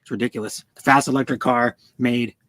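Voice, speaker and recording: A man talks casually on a livestream at an average pitch. He rambles and stammers, often repeating words as he goes. The sound is dry, with no room echo. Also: fairly even intonation, quick pace, a bit bright. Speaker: streamer. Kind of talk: casual car chat on a livestream.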